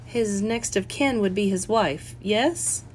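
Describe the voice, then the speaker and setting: A woman's voice: mid-range voice, takes it slowly, slight background noise, lightly acted, rough recording. A woman voicing an earnest, persistent and polite widow playing amateur sleuth in an audiobook, with a lilting, emphatic delivery. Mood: insistent. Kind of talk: audiobook character voice.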